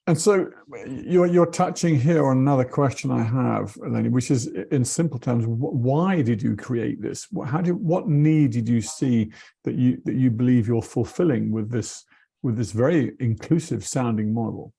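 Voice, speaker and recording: Gravelly-voiced man interviewing, halting. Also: medium pitch, warm tone. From England. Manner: halting, repeats words, chuckles often. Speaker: interviewer, board member. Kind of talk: video call interview. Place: home in England.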